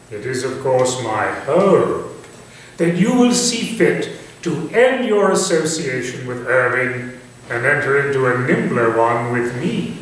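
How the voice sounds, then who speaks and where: A man playing a manipulative, commanding Victorian gentleman villain in a staged thriller, speaking in formal, theatrical phrases. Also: fairly high pitch. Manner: formal, deliberate, theatrical delivery. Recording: distant, echoey stage recording with faint noise.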